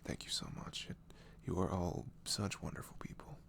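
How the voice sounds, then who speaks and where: A man speaking softly in a casual, hesitant, rambling ASMR style, apologetic in manner. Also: sits low, normal speed, creaky. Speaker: audio roleplay creator. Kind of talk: soft-spoken ASMR, casual rambling intro.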